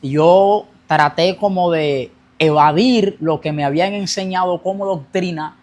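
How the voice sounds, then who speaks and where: A man's voice: high voice, a little slow, darkish tone. A young man telling his personal faith testimony as a guest, earnest, speeding up as he recounts his past. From Colombia.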